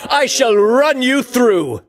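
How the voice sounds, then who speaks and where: Pompous, high-pitched heroic Greek champion. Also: male voice, sing-song, slow pace, heavily acted. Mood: haughty, taunting. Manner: pompous, grandiloquent, archaic diction. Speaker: video game voice actor. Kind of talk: theatrical video game combat barks.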